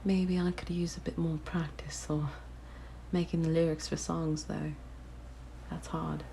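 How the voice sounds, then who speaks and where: A woman voicing a possessive, curious siren monster in an ASMR roleplay, speaking in a soft, breathy whisper with a gentle, coaxing manner. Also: low voice, level tone, measured pace, a little hiss or hum. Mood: shy, wistful. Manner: gentle, wistful, fascinated, coaxing. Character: possessive, curious young female siren monster, softly luring. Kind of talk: ASMR roleplay, intimate monologue.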